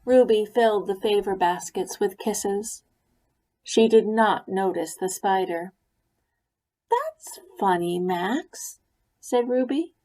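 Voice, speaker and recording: A woman reads a children's picture book aloud in an unhurried, gentle storytelling voice. Her pitch sits in a middle range, and she lets each line settle before going on. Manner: slow, gentle storytelling with lively quoted lines. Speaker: reader.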